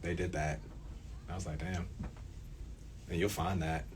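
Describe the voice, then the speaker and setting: A man's voice: deep voice, fairly even intonation, a little slow. A man being interviewed in a casual chat, speaking informally with slang and repeating himself to stress his points. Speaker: radio play creator being interviewed. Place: student radio station. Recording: faint background noise.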